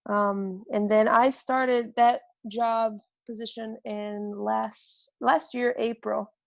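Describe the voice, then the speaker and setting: A woman with a Brazilian accent speaks in a gravelly, fairly flat voice, pausing often with ums and restarts. The recording sounds dry and close. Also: a little high, dry recording, dull audio. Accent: Brazilian Portuguese. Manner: hesitant, many ums and restarts. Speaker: strength and conditioning coach. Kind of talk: guest telling her life story.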